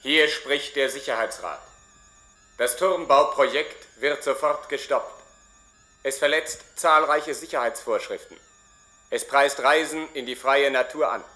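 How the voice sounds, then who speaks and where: A man's voice: mid-range voice, measured pace, pushed and bright. An actor playing a stern, rigid male security official in a radio play, speaking in formal bureaucratic pronouncements. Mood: stern, condemning. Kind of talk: German radio play acting.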